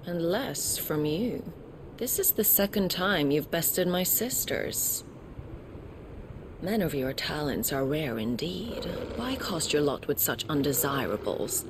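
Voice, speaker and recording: Slow-spoken, haughty villainess, sweeping pitch. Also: female voice, very animated, noisy background, exaggerated voice. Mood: coolly disdainful. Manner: haughty, theatrical, deliberate phrasing. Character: haughty, cruel female warrior villain, taunting and imperious. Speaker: video game villain. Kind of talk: dramatic video game cutscene acting.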